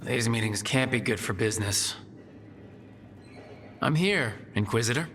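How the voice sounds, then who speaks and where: An actor playing a wry, easygoing young hero in a fantasy video game, with a casual delivery. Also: male voice, medium pitch, expressive, measured pace, fairly bright tone. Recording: long reverb, music behind.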